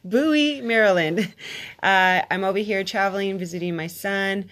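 A woman's voice: medium pitch, moderate pace, fairly bright tone. An American woman hosting her podcast, chatty, upbeat and playful, laughing easily. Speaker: podcast host. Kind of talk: casual podcast chat.

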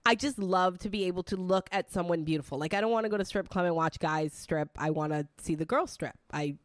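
A woman in her forties hosting a podcast over a video call, animated and laughing often as she tells stories; her first language is Italian. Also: on the low side, fairly quick, dry recording, clean studio sound. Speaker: podcast host. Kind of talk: casual podcast chat.

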